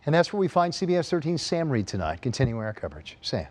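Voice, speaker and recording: A man's voice: mid-range voice, sing-song, fast, darkish tone, slight background noise. An American man reading scripted news introductions as an anchor.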